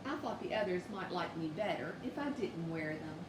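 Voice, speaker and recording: A woman's voice: lowish voice, lively intonation, normal speed, echoey room, recorded from a distance, noisy background, natural voice. A woman voicing an earnest, wistful young male polar bear in a storybook, hesitant and polite. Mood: surprised, shy.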